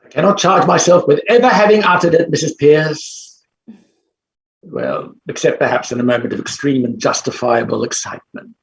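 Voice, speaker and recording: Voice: mid-range voice, normal speed, dull audio, natural voice. An actor playing an arrogant, blustering bachelor phonetics professor, with a pompous, theatrical and dismissive manner, in a staged play reading. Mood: pompous, evasive. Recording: roomy, echoing sound.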